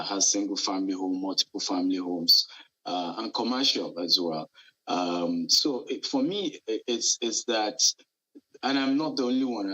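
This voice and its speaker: A Nigerian-accented man speaks earnestly as a guest on a live TV interview, often restarting his sentences. His voice is bright and a little husky, and it sits on the low side. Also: rough recording. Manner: earnest, animated, frequent restarts and fillers. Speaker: entrepreneur guest. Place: Dubai.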